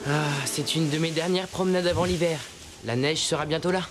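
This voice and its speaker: A calm cartoon companion acted by a man with a wry way of talking. The male voice is a little high, with clear ambient noise behind it. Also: brisk, lightly acted. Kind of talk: French animated series dialogue.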